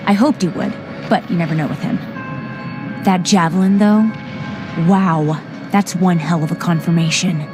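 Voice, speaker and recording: Lady acting as a headstrong sci-fi heroine, quick and assured, game cutscene w music behind. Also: medium pitch, close to an everyday voice. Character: headstrong, earnest female guardian, quick-witted and defiant.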